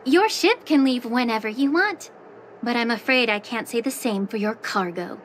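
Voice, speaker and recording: An actress playing a calm, long-lived alchemist official who speaks with dry, teasing sarcasm in a video game. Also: female voice, on the high side, moderate pace, a little hiss or hum. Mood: wry. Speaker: head alchemist.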